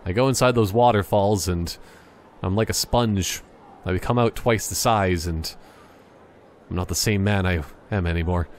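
A man's voice: sits low, moderate pace, slight background noise. A man giving casual, joking gameplay commentary, rambling in a silly, mock-serious way.

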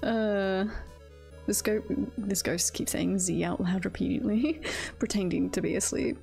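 A woman's voice: medium pitch, steady tone, a little slow, vocal fry, darkish tone, faint music behind. A woman streaming a video game, chatting casually and reacting aloud in a playful, giggly manner. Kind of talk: casual livestream chat and game commentary.

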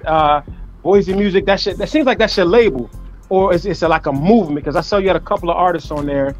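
Guy hosting over webcam, cracks jokes, swears a lot, kinda high voice. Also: fast talker, highs cut off, soft background music. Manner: loose, joking, profane banter. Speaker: host. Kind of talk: casual interview chat. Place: room at home indoors. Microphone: webcam on a video call.

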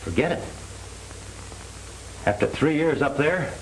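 An actor playing a wronged, earnest young American ex-convict in 1930s film style. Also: male voice, fairly high pitch, lively intonation, measured pace, low-quality recording. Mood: indignant, pleading. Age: young adult. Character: wronged young ex-convict, earnest, proud former football player. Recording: hissy 1930s film soundtrack.

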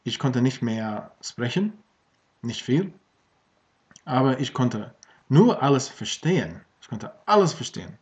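Slow, hesitant man on a dull webcam. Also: medium pitch, very animated. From Australia. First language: English. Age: 30s. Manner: slow, hesitant, many fillers and restarts. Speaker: language learner. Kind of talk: casual vlog in German. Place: small room at home with bookshelves. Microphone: webcam at desk.

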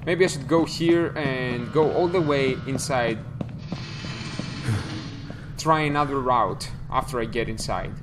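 A man's voice: mid-range voice, measured pace, pauses often. A young man commentating a horror game as he plays, thinking aloud and startling easily, on a headset mic. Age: young adult. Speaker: let's play gamer. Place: small room indoors. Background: game music.

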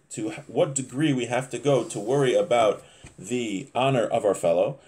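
A man in his thirties giving an informal religious lesson, reading from a text and explaining it haltingly, often rephrasing himself. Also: medium pitch, a little mellow. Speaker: religious teacher. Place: small home office, indoors.

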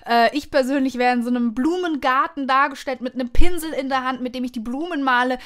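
A woman's voice: sits high, fast, fairly bright tone. A woman hosting a podcast interview in an effusive, encouraging manner. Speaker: podcast host.

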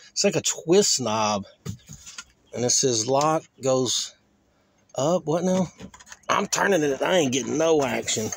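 A man chatting casually and colloquially as he hosts a rambling walk-around video, in a folksy manner, talking half to himself. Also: medium pitch. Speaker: host of a car crushing vlog. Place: outdoors in a junkyard. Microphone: handheld phone or camera, operator behind it. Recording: faint outdoor noise, handheld camera audio.

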